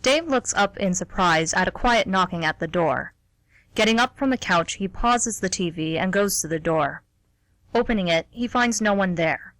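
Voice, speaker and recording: A woman's voice: medium pitch, expressive, moderate pace, fairly bright tone, dry recording, poor audio. A woman reading scripted story narration as part of a radio theatre broadcast. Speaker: narrator and co-host.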